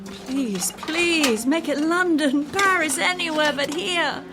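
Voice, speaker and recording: An actress in a radio drama playing an impatient, domineering wife, sharp-tongued, sarcastic and dismissive. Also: female voice, high-pitched, slow, music in the background. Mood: sardonic, weary. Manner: sarcastic, bossy.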